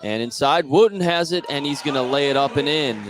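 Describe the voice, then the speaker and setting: A man's voice: on the high side, fairly quick, background noise. American man calling live basketball play-by-play. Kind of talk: live sports radio commentary. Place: indoor basketball gym during a game.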